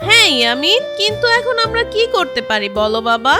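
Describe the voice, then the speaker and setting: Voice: very high-pitched, sing-song, fairly quick, music in the background. A performer voicing a practical village woman in a children's cartoon, speaking in a matter-of-fact, instructing way. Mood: gently concerned. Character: practical village woman, mother or farm owner. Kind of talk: children's moral cartoon dialogue.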